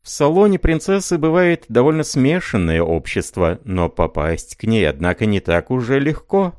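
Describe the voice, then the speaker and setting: A man's voice: medium pitch, very animated, normal speed, a little mellow, no room sound, clean studio sound, pitched above the performer's own voice, close to an everyday voice. A man reading an audiobook, voicing a proud middle-aged aristocratic princess with a gossipy, haughty manner. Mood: confiding.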